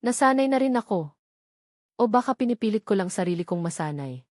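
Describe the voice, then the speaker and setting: A woman voicing a guarded, wounded man who speaks with calm firmness in a romantic audio drama. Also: medium pitch, a little fast, no room sound, studio quality, close to an everyday voice. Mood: brooding. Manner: calm, firm, brooding. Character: guarded, wounded but reassuring island man.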